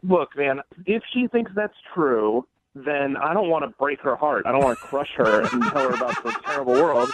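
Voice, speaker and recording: A man calling in to a radio show, talking casually in a halting way. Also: mid-range voice, animated, a little hiss or hum. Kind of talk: casual conversation. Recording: slightly dull phone-in audio.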